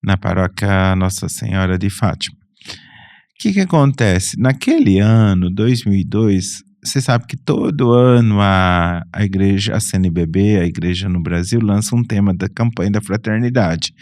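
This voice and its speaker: Middle-aged male priest guest, Brazilian, lowish voice, dry close mic, easygoing storyteller. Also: darkish tone, dry recording. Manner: unhurried, with frequent restarts and self-corrections. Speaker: Franciscan friar and priest, podcast guest. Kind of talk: relaxed personal storytelling.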